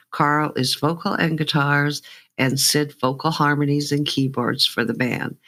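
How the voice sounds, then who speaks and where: A woman's voice: low-pitched, a bit bright. A woman hosting a music show, chatting enthusiastically as she interviews guests. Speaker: radio show host and indie music reviewer.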